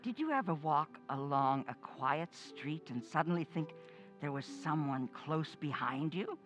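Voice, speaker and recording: A woman's voice: low-pitched, lively intonation, muffled recording, faint music behind. A woman playing a menacing, bloodthirsty undead old woman who taunts and commands in old-time radio horror style. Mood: eerie menace. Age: older. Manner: slow, taunting, commanding, gloating.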